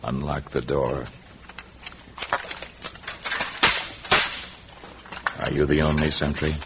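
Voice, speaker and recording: An actor playing a noble, earnest and commanding jungle hero in a vintage radio serial, with formal, deliberate diction. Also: male voice, extremely low pitch, flat delivery. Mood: hushed, commanding. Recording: dull noisy vintage radio recording.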